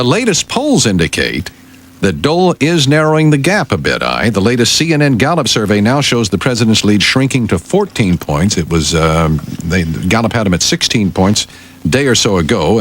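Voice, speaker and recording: Low-voiced American radio newsman with animated delivery. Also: moderate pace. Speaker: radio news anchor and sidekick. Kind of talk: news reading mixed with banter. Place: radio studio.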